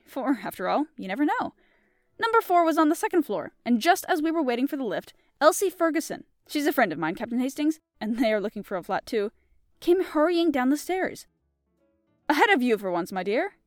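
Breathless rambling housewife voiced by a woman, high and lively, dry sound. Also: dry recording. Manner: gushing and rambling. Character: chatty, breathless middle-aged English housewife.

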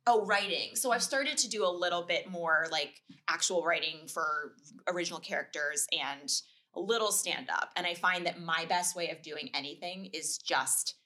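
A woman's voice: on the low side, moderate pace, sharp tone. A woman who does impressions for comedy, chatting casually as a podcast guest in a rambling, self-deprecating way.

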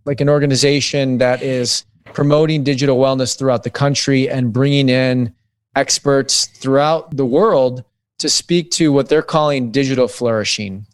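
A man's voice: mid-range voice, fairly even intonation, moderate pace, clear, resonant tone, dry recording. Reflective man in his thirties, dry sound. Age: 30s. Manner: reflective, thinks aloud with many restarts. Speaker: guest author on digital wellness. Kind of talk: conversational podcast interview.

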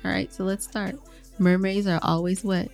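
A woman of ordinary pitch chatting with her co-host, laughing easily and reacting with mock embarrassment. She also runs the show's sign-off, over faint background music. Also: little pitch movement, normal speed, vocal fry, darkish tone. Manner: laughs often, playfully embarrassed. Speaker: podcast co-host. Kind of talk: casual banter.

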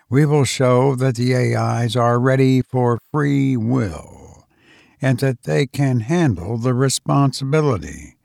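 A man's voice: medium pitch, vocal fry, a little mellow, no echo, studio quality. A man voicing a kindly middle-aged male defense attorney, earnest and formal, in an audiobook.

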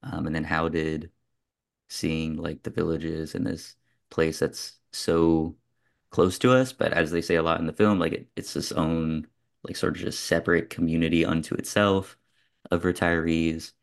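Male host, casual film chat, very even low register, reflective and loosely discursive. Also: moderate pace, gravelly. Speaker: podcast host.

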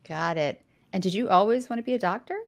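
Woman podcast host, upbeat and encouraging, mid pitch. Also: animated, fast talker. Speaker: interview host. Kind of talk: casual interview hosting.

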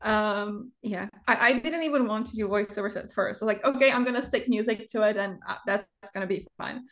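A woman, an English speaker, talking as an interview guest, often pausing and searching for words with fillers. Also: mid-range voice, a bit bright. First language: Czech. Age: 20s. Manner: hesitant, pauses to find words, frequent fillers. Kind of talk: casual interview chat.

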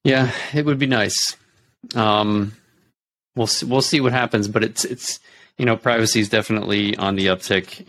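A man's voice: fairly low pitch, a bit bright. A man hosting an interview, asking questions hesitantly with many ums and restarts. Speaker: podcast host. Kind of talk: casual interview.

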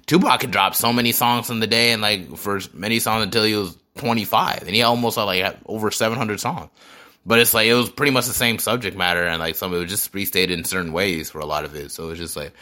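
A man's voice: on the low side, quick pace, bright, forceful tone. A man in his twenties hosting a podcast, talking in an earnest, reflective way with many fillers. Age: 20s. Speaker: podcast host and rapper. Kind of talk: casual chat.

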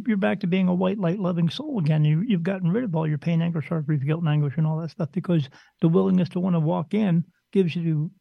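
High gravelly man, blunt and rambling. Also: no echo, lo-fi sound. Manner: rambling, blunt, mimics other people's voices. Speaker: spirit medium guest. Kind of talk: casual podcast chat.

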